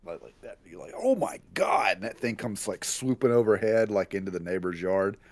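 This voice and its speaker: Deep-voiced man in casual chat. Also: expressive, normal speed, dry recording. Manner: informal, says "like" constantly, exclamatory.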